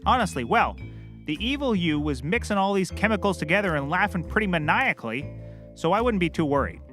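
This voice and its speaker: A man's voice: high voice, expressive, brisk, a bit bright, soft background music. A man playing a cheerfully clueless, rambling private eye who cracks corny jokes in a scripted comedy. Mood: breezy, clueless. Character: bumbling, chirpy, oblivious male puppet-world private eye.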